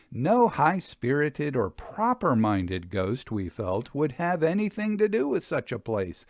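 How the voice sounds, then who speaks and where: A man reading a Victorian account aloud as a storyteller, in a formal, measured literary manner. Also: mid-range voice, darkish tone, no room sound, studio quality. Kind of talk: expressive scripted narration of a Victorian travel account.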